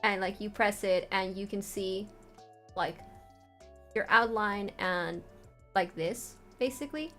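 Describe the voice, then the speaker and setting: A woman's voice: medium pitch, slow, pauses often, pushed and bright, soft background music. A woman streamer walking viewers through a writing tool in an informal, meandering way. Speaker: streamer giving a tutorial. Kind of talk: casual livestream walkthrough of a writing tool.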